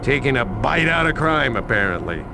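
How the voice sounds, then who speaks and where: An actor playing a sardonic police detective in a video game, questioning a suspect with casual, wry sarcasm. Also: male voice, medium pitch, sing-song, normal speed, noisy background. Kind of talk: video game cutscene acting.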